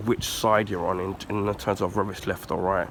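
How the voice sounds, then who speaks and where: A man from the UK, husky and bunged up from a cold, rambling through a casual rant. His voice sits a bit low, he clears his throat often, and faint street traffic hums behind him. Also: level tone, a little fast, fairly bright tone. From United Kingdom. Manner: rambling, many ums and restarts, frequent throat clearing. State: husky, recovering from flu, blocked nose. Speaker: YouTuber.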